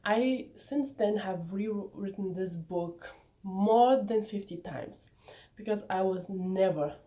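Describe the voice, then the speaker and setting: Young woman vlogger, Bulgarian native speaker, mellow warm voice, chatty and eager. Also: medium pitch, slow pace. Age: young adult. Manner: enthusiastic, chatty, sometimes personal and reflective. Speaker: author presenting her book. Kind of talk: casual vlog talk to viewers.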